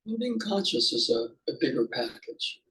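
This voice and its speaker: A man's voice: medium pitch, big pitch swings, a bit bright, low-quality recording. Man musing through a guided meditation. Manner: halting, musing, speaks in short fragments with pauses. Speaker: meditation teacher.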